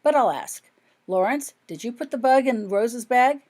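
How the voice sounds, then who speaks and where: A woman's voice: a little high, lively intonation, moderate pace, a little mellow, pitched above the performer's own voice. A woman voicing a thoughtful, confident young schoolgirl in an audiobook, earnest and firm. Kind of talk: audiobook character dialogue.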